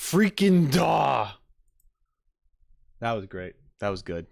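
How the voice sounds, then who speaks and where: A man's voice: medium pitch, sing-song, slow, creaky, no room sound. A man streaming casual reaction commentary, thinking aloud informally as he talks to chat.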